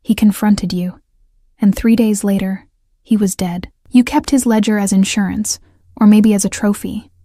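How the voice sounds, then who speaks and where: A woman's voice: mid-range voice, steady tone, moderate pace, warm tone, dry recording, studio quality, close to an everyday voice. A woman voicing a steely young avenger. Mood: determined. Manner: firm and cutting. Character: determined young woman seeking revenge, steely.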